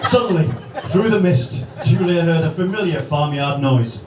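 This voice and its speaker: A man narrating a spoof radio bedtime story live on stage, theatrically, with dramatic pauses. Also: a little high, animated. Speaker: narrator of a live comedy sketch. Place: large indoor club event before live audience. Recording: echoey noisy hall, distant microphone.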